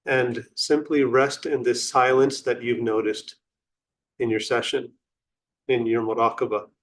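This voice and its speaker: Man, meditation guide, very slow pace, flat intonation, long pauses, contemplative. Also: mid-range voice. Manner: unhurried phrases with long pauses; contemplative, instructional.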